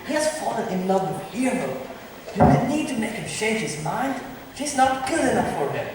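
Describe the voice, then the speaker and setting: A man's voice: high voice, very animated, moderate pace. A young man playing a scheming prince's brother in amateur stage Shakespeare, recorded from afar in an echoey hall. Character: scheming, smooth-lying villain, the prince's brother. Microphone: far camera. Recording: distant, echoey hall, faint noise.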